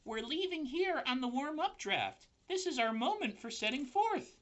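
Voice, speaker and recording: A man voicing tiny, cheerful, polite baby spiders in a squeaky, chirpy voice while reading a children's book aloud. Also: big pitch swings, normal speed, a bit bright, heavily acted.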